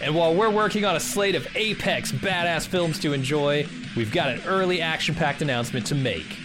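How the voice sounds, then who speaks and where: A man's voice: fairly high pitch, fairly quick. A man who hosts a podcast, giving a scripted, hyped promotional announcement for an event. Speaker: podcast host. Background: plucked guitar music.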